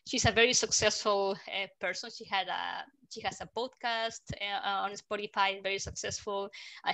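Woman host, bright voice, halting with many fillers, headset mic. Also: mid-range voice, poor audio. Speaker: host of a design community program. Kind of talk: hosting a video call session. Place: home room indoors. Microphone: headset mic on a video call.

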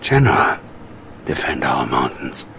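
An actor playing a middle-aged, coldly calculating Soviet commander, speaking in a measured, menacing way. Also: male voice, a bass voice, very animated, a bit bright, a little hiss or hum, exaggerated voice. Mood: cold menace.

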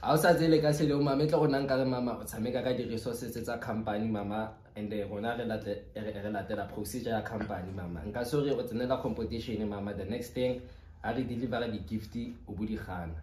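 A man's voice: lowish voice, steady tone, fast talker, darkish tone. South african guy doing a prank call, pushy but polite agent talk, echoey room w some background noise. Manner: insistent, repetitive, addressing the listener respectfully. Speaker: prank caller. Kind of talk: prank phone call posing as a company agent. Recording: roomy echo with background noise.

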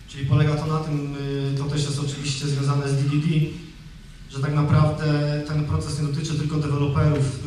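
A man's voice: a little high, a little mellow, slight background noise. A man in his thirties giving a technical talk on a conference stage into a handheld mic, in a loose conversational way full of fillers and restarts. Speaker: conference speaker. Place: large conference hall, on stage. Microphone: handheld microphone. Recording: echoey hall, distant from the mic.

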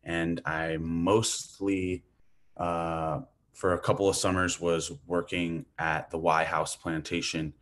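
A man's voice: sits low, little pitch movement, slow, a bit bright. A man from Hawaii giving an academic lecture over a video call, talking loosely with fillers like "like" and "um". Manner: casual, thinking aloud with fillers. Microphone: video call webcam mic.

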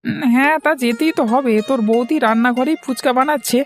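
A woman's voice: high-pitched, quick pace, soft background music, close to an everyday voice. A woman voicing a caring, mildly scolding elder brother in a cartoon moral story, with a familiar, fussing manner.

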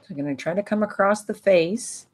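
A woman giving calm, analytical live commentary on a curling match. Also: mid-range voice. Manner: calm, analytical play-by-play with pauses.

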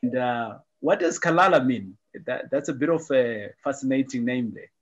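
A man's voice: medium pitch, moderate pace, highs cut off. A middle-aged man hosting a casual interview over a video call, upbeat and repeating friendly phrases. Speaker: host.

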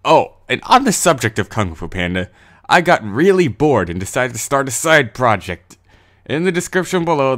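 A man's voice: fairly low pitch, a bit bright. A man giving a casual, informal channel update as host, with jokey, goofy asides. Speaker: host of an animated fight series channel.

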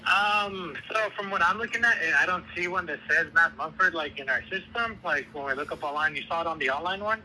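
Very bright, high-voiced polite man on speakerphone. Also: a little fast, hardly any pauses, poor audio. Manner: polite, patient, hesitant. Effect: phone speakerphone. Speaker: store employee. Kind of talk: customer service phone call. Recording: phone speaker picked up, faint noise.

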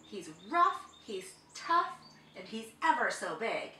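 Woman playing an excitable, high-pitched squawking parrot. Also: takes it slowly, roomy sound, recorded from a distance, a little hiss or hum, exaggerated voice. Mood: awed. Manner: squawking, exclaiming. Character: excitable, easily frightened parrot in a storybook.

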